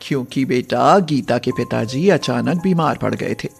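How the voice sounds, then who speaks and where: A woman's voice: rumbling low, moderate pace, creaky, soft background music, voice pushed down. A woman voicing a concerned, plain-spoken motherly older woman in a moral story. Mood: regretful. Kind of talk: acted Hindi moral cartoon story.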